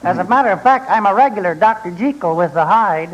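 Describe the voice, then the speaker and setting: An actor playing a fussy, chatty taxidermist who hesitates and repeats himself, in the style of 1940s radio comedy. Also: male voice, high voice, lively intonation, fast talker. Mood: dithering, pleased. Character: dithering, chatty, self-pleased old-fashioned male taxidermist. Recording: muffled vintage 1940s radio recording.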